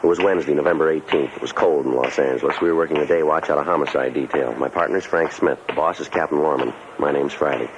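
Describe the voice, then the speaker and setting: Fast, deadpan, deep-voiced American police detective. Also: male voice. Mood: matter-of-fact, dry. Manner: deadpan, clipped, laconic. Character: terse, unflappable police detective sergeant, dry and patient. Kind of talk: 1950s radio drama acting and clipped narration. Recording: muffled, noisy old radio recording.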